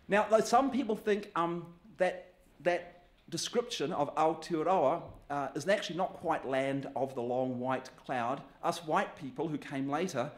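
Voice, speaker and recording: A man with a New Zealand accent speaking to an audience in an easygoing, conversational talk. His pitch sits in a mid range for a man, and he drops in light, self-deprecating humour. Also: a bit bright. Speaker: guest speaker. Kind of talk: public talk with slides.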